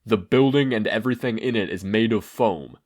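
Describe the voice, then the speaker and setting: A man's voice: lowish voice, a little fast, a little mellow, lightly acted. A man voicing an earnest, anxious teenage boy hero with a fretful, urgent manner in a story reading. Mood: earnest, determined.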